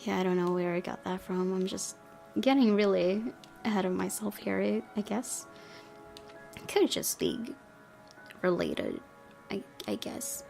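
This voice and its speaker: A woman's voice: medium pitch, animated, unhurried, gravelly, long pauses. A woman hosting a gaming video, reading a visual novel aloud and mixing in chatty, giggly asides. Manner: giggly, chatty asides between narrated passages. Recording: loud music behind the voice. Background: loud game music.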